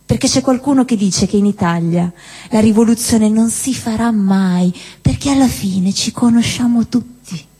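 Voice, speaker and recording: A woman performing a theatrical spoken-word monologue on stage, declaiming with deliberate phrasing and dramatic pauses. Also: fairly high pitch, dark tone, husky. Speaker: stage performer at a live show. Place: indoor cinema-theatre venue with long reverb. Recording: reverberant hall, live stage performance.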